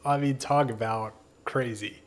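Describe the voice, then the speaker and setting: A man's voice: mid-range voice, steady tone, unhurried. A man co-hosts a casual conversation in an ordinary mid-range voice. He jokes and pokes fun at himself while making a point. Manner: self-deprecating, joking. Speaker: podcast co-host. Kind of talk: casual chat.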